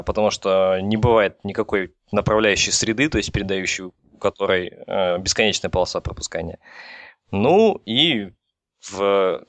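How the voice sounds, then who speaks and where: Male expert explainer, lowish pitch, informal and halting, dry recording. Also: fairly bright tone, dry recording. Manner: halting, with frequent false starts. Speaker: telecom engineer explaining as guest expert.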